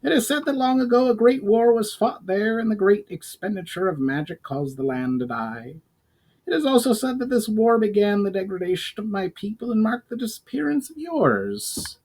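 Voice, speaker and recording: A man's voice: sits high, big pitch swings, moderate pace, darkish tone, pitched above the performer's own voice, full character voice, poor audio. A man voicing an inquisitive, earnest goblin companion who speaks formally in a storybook reading. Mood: solemn. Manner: formal, questioning speech.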